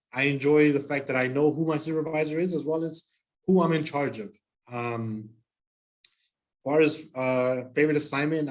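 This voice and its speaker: Easygoing Army sergeant from El Paso. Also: male voice, medium pitch. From El Paso, Texas. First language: Spanish. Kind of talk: presentation to students.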